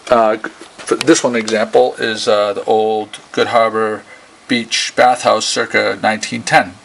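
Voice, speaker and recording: Guy with creaky voice interviewing casually, kinda stumbly, enthusiastic slangy talk. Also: on the low side, level tone, a little slow, fairly bright tone, rough recording. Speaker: interviewer, local video host. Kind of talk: casual chat, informal interview. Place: small gallery shop indoors.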